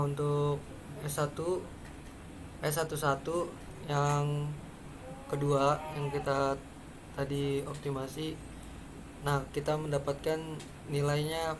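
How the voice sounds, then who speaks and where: Seorang pria muda berlogat Indonesia memperagakan perangkat lunak langkah demi langkah sebagai mahasiswa presenter, dengan bicara ragu-ragu. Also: male voice, a little high, monotone, a little slow, pauses often. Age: young adult. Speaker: student presenter. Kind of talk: step-by-step software demonstration. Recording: clearly noisy background.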